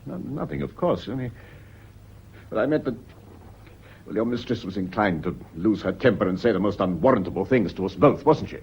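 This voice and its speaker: An actor playing an irritable, uneasy British master of the house in a radio play, sardonic and at times nervously hesitant. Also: male voice, fairly low pitch, animated, fairly quick, darkish tone. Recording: noisy old radio drama recording.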